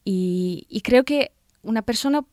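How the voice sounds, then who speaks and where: A woman's voice: fairly high pitch, lively intonation, measured pace, darkish tone, no room sound, clean studio sound. Hesitant woman. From Belarus. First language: Russian and Belarusian. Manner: hesitant, searching for words. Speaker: guest, translator and painter. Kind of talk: interview answers.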